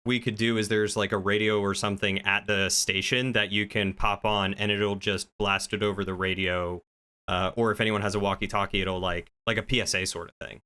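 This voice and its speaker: A man's voice: lowish voice, flat delivery, fairly quick, bright, forceful tone, clean tone. A man chatting casually in an agreeable way, offering suggestions as he goes. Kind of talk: casual chat.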